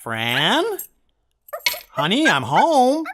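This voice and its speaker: Radio drama: male actor as tired, irritable middle-aged salesman, slow delivery, pitch swinging widely. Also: wildly swooping pitch, heavy background noise, heavily acted. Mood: tired, dutiful. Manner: weary, sighing, hesitant. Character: weary, grumpy married insurance salesman. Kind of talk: radio play acting.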